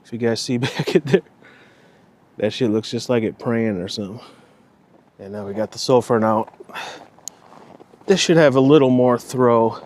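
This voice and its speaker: A man's voice: mid-range voice, normal speed, long pauses, darkish tone, a little hiss or hum. A man vlogging casually on a night walk outdoors, rambling and swearing now and then, with a slight Minnesotan accent. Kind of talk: casual walking vlog.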